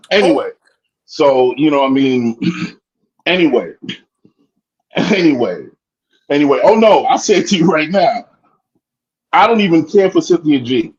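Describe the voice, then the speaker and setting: A man's voice: fairly high pitch, sing-song, measured pace, pauses often. A man calling in, fired up and rambling, repeating himself as he makes his points. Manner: fired up, rambling, repeats "you know what I mean". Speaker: guest caller. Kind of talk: heated casual commentary. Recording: muffled lo-fi call audio.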